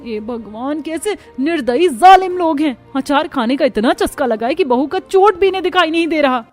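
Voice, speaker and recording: A woman's voice: high voice, animated, normal speed, darkish tone, pitched above the performer's own voice. A woman voicing a put-upon young daughter-in-law in a cartoon, complaining in sarcastic, exasperated asides. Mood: angry. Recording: music bed under the voice.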